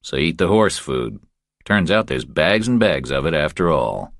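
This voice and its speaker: A man acts out a tough, commanding drifter with an extremely deep voice. He speaks in terse, controlled, taunting lines, as in a thriller audiobook, with a dry sound. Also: sing-song, unhurried, fairly bright tone, no echo, full character voice. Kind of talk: audiobook character dialogue.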